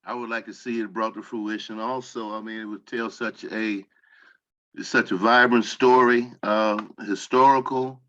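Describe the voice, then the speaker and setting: Lower-voiced man on a dull connection. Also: level tone, measured pace, bright, forceful tone. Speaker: cast member. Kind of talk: casual reflective commentary.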